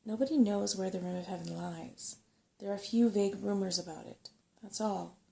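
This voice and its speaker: A woman's voice: mid-range voice, normal speed, vocal fry, mellow, natural voice. A woman voicing a self-satisfied old male rat, a chatty and cautious know-it-all, in a bedtime story. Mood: knowing, curious.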